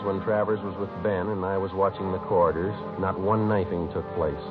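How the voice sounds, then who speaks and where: A man playing a terse, methodical police sergeant in a 1950s radio drama, deadpan and clipped in manner. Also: low-pitched, close to an everyday voice. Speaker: actor playing the narrator and lead detective. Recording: muffled old radio recording, music behind.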